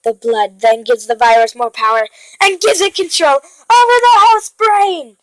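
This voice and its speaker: Woman putting on a high-voiced doctor with affected accent. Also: steady tone, moderate pace, no room sound, natural voice, low-quality recording. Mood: explaining. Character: doctor gravely explaining a strange virus.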